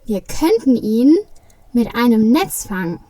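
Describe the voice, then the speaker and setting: A woman's voice: a little high, big pitch swings, a little slow, dark tone, slightly rough, dry recording, far from the mic, full character voice, poor audio. A woman playing a concerned, busy little Christmas elf in a children's audio play. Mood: rallying.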